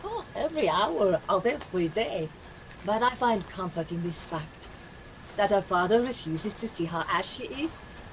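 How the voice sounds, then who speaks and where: An actress playing a sensible, caring governess who speaks in a measured, formal way in a radio drama. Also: female voice, medium pitch, lively intonation, natural voice, rough recording. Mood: confiding, caring. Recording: clear noise and ambience behind.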